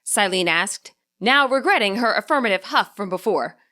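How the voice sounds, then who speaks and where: A woman's voice: mid-range voice, animated, moderate pace, bright, forceful tone. A woman reading her own fan fiction aloud as a scripted story narration.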